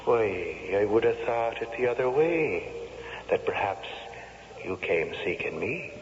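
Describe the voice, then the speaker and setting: An actor playing the grave, bitter ghost of a murdered Irishman, speaking slowly and solemnly with an Irish accent and slyly bargaining in a radio drama. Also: fairly low pitch, expressive. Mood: sly, calm. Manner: slow, solemn, eloquent tempter. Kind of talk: 1970s radio drama acting. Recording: muffled, faintly hissy old radio, long reverb.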